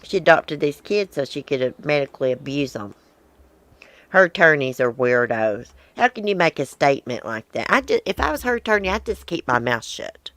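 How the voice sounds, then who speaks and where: A woman's voice: low voice, very animated, moderate pace, a bit bright, no echo. Blunt wheezy woman chatting. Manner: blunt, outspoken, rambling. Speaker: livestream host. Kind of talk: casual live chat, reading viewer comments. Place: outdoor porch.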